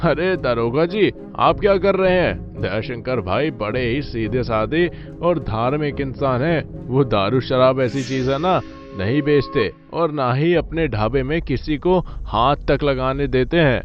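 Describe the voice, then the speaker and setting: A man's voice: medium pitch, expressive, unhurried, few pauses, natural voice. An outspoken village shopkeeper in a cartoon, acted by a man, insistent and loyal. The track is slightly dull and has music playing behind his voice. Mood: protesting. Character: outspoken village eatery owner, loyal, inquisitive neighbour. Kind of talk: cartoon village tale acting.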